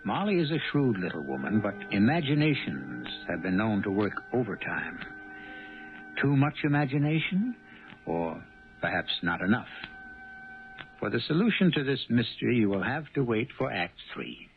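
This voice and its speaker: Creaky old radio mystery host, sly and theatrical, big dramatic swings, dull broadcast sound. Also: male voice, lowish voice, very animated, moderate pace, soft background music. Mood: sly, suspenseful. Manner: dramatic rhetorical questioning. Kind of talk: 1970s radio mystery narration.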